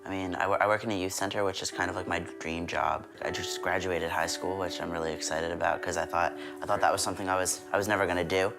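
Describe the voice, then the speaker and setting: A man's voice: low voice, little pitch movement, fast, creaky, hardly any pauses. A young man in his twenties speaking casually and upbeat in a documentary interview, often saying "like". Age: 20s. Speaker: youth center worker. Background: background music.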